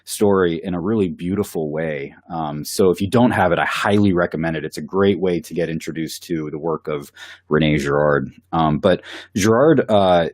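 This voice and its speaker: Man with a cold, very low voice, dry close sound, eager casual chat. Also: darkish tone, no room sound. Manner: enthusiastic, with filler words and laughs. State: has a cold. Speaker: bible study co-host.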